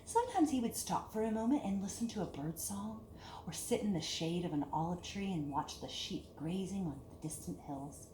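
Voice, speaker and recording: A woman reading a picture book aloud to children in an expressive, deliberate storytelling manner, with hushed dips and emphatic lifts. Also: lowish voice. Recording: echoey room with clear background hiss.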